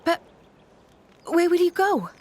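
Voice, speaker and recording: A woman's voice: very high-pitched, expressive, slow, a little mellow, exaggerated voice. A woman voicing a blunt, fiery young woman in a video game, exasperated and scolding.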